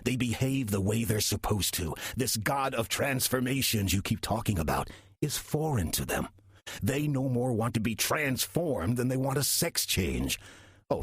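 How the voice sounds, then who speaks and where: An actor playing a sly, taunting demon posing as a church caretaker, smug and sardonic in a horror audio drama monologue. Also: male voice, on the low side, sing-song, husky, dry recording, studio quality, exaggerated voice. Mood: scornful. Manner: smug, sardonic, deliberate storytelling with drawn-out emphasis. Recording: loud music under the voice.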